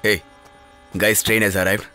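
A man's voice: on the low side, flat delivery, unhurried, bright, forceful tone, clear, resonant tone. A man dubbing a young man in a hurry, explaining money matters before leaving by train. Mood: rushed.

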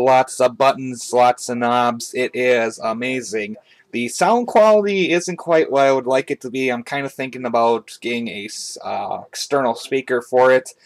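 A man with a mid-pitched voice talks through a hobby gear review in a casual way. His delivery is halting and conversational, picked up by a webcam in a room at home. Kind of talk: casual product review to camera. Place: indoors, small room at home. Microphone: webcam on a tripod, speaker off camera.

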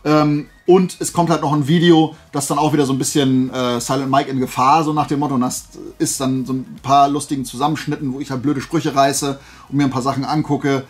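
A man's voice: mid-range voice, fairly even intonation, a little fast. A man chatting casually to viewers like a vlogger, in a rambling, digressive and self-deprecating way. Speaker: YouTube vlogger showing flea market and fair finds.

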